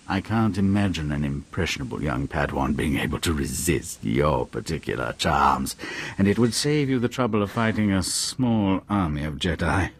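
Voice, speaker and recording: Slimy fawning sith informant, really low voice, video game dialogue with music behind. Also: male voice, gravelly, slightly rough, exaggerated voice. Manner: unctuous, hesitant, self-deprecating. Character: obsequious, scheming male Sith informant, sly and fawning.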